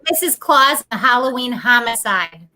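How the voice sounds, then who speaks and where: A woman co-hosting a book club livestream, chatting casually and relaxed. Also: on the high side, normal speed.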